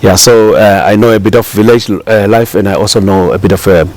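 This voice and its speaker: Relaxed middle-aged South African man. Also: lowish voice, fairly quick. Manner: relaxed, rambling, many fillers and restarts. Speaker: chef, guest. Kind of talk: casual interview talk.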